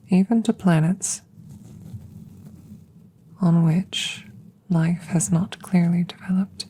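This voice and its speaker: A woman voicing a curious, calm, gentle female alien scientist in a measured, formal whisper for an ASMR roleplay. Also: lowish voice, a little hiss or hum, lightly acted, low-quality recording. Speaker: audio roleplay voice performer. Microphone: close microphone.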